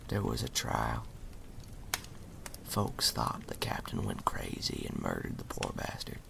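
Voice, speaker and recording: A man voicing the sinister ghost of a murdered henchman, telling a spooky tale in a hushed, folksy, menacing way for an ASMR roleplay. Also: a bass voice, huge pitch swings, a little slow, background noise, heavily acted. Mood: ominous storytelling. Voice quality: breathy, gravelly whisper. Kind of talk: ASMR roleplay, scary storytelling. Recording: reverberant, cavernous echo.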